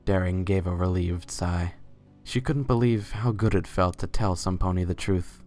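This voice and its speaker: A man's voice: sits low, normal speed, a little mellow, faint music behind. A man reading scripted third-person narration for a dramatized story.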